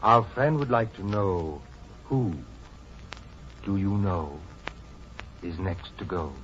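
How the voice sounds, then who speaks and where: A man's voice: low-pitched, expressive, slow, fairly bright tone, exaggerated voice. An actor playing a gaunt, sinister man with formal, courteous, insistent speech in an old-time radio drama. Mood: coolly insistent. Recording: muffled vintage radio recording with music.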